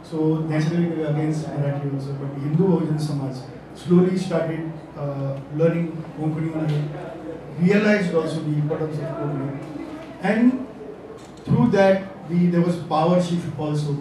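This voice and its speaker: A man speaking on a discussion panel, thoughtful and halting with many fillers. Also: on the high side. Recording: echoey distant hall recording with noise.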